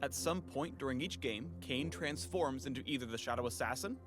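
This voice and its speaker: A man's voice: medium pitch, a little fast. A man reading scripted, explanatory narration for a video game spotlight. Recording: loud music under the voice. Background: music.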